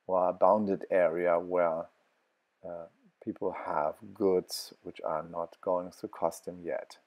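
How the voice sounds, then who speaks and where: Slow, deep-voiced man with halting speech. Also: darkish tone. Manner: halting, many fillers and restarts. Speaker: travel vlogger. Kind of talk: walking tour narration.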